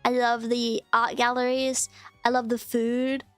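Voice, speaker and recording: A young woman talks loosely to her stream, full of "um"s and "like"s. Her voice sits high. The sound is close and dry, with faint music playing underneath. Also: little pitch movement, a little slow, fairly bright tone, clean tone, dry recording. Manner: rambling, filler-heavy, chatty. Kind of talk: casual live-stream chat.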